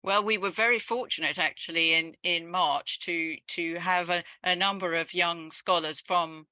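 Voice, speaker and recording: A woman's voice: lowish voice, fairly even intonation, moderate pace, sharp tone, no room sound. A woman hosting an online lecture and moderating the questions through a headset, speaking politely and formally. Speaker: host of the society's lecture. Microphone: headset on a video call.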